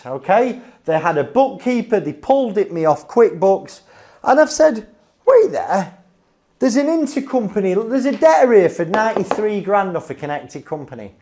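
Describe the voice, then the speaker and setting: A man's voice: high-pitched, darkish tone. A Northern English man telling business anecdotes informally, blunt and emphatic, often checking in with "okay?" or "right?". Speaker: insolvency adviser.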